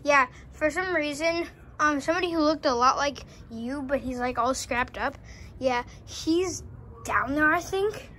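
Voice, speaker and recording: A woman's voice: sits high, a little slow, sharp tone, slight background noise. A female performer voicing a scrappy, homeless junkyard animatronic with a casual, rambling way of talking in a toy drama. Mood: forlorn, casual. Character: scrappy, down-on-its-luck junkyard animatronic looking for a home.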